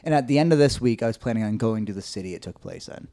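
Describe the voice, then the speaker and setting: A man with a slightly high, nasal voice, telling a story on a casual podcast, rambling and hesitant with lots of "like"s and restarts. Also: lively intonation, racing, mellow, dry recording, clean studio sound.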